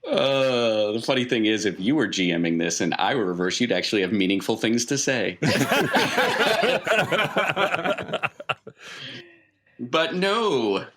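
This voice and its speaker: A man's voice: mid-range voice, lively intonation, takes it slowly, long pauses, fairly bright tone, slight background noise. A man working as game master, improvising scenes and putting questions to players in a tabletop game.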